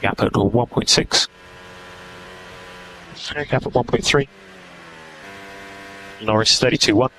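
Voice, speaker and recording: A man's voice: medium pitch, slow, pauses often, a bit bright. A man working as a race engineer, giving clipped, procedural instructions over team radio. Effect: team radio transmission. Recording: noisy team radio feed.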